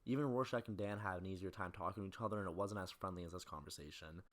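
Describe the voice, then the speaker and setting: A man's voice: deep voice, fast. A man giving scripted film commentary as a video essayist, in a critical, opinionated manner.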